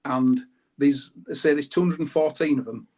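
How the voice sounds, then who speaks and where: A man's voice: on the high side, fairly even intonation, moderate pace, darkish tone, slightly rough. A British man, a guest on a show, explaining things in casual conversation. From United Kingdom.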